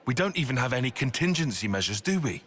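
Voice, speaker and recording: A man's voice: medium pitch, sing-song, fast talker, fairly bright tone, husky. An actor playing a cautious, exacting young man in a video game, dry and critical in his delivery. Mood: skeptical.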